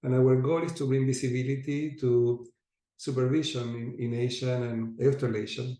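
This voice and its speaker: A man with an Argentine accent, hosting and facilitating an online group session, inviting and lively, often restarting his sentences. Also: mid-range voice, vocal fry, reverberant. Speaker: host and facilitator. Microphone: video call.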